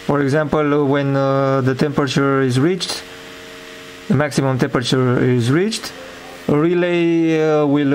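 A man giving an informal hands-on demonstration as presenter of an electronics project, with hesitant, halting phrasing. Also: fairly high pitch, a little mellow, a little hiss or hum, poor audio.

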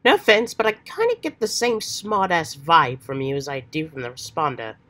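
A woman voicing an earnest, excitable, old-fashioned adventurous teenage boy with hammy, folksy slang, while getting over a cold. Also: deep voice, normal speed, pitched below the performer's own voice. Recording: faint background noise.

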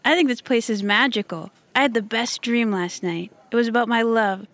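A woman's voice: fairly high pitch, normal speed, fairly bright tone. An actress playing a dreamy, lovestruck young woman musician who is devoted and choosy. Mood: dreamy, smitten.

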